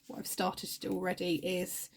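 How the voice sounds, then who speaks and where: A woman's voice: lowish voice, completely flat delivery, moderate pace. A young woman, a teacher, chatting casually to camera at home while feeling fluey and tired, self-mocking. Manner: chatty, self-mocking, talks to her cat. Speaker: teacher and budgeting vlogger. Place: at home, indoors.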